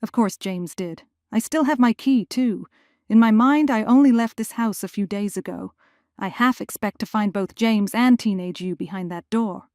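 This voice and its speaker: Woman playing a gentle, anxious mother, dry close sound. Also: medium pitch, moderate pace, dry recording, close to an everyday voice. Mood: nervous, tender. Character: gentle grieving mother, returned after twenty years, anxious. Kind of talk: audio drama acting.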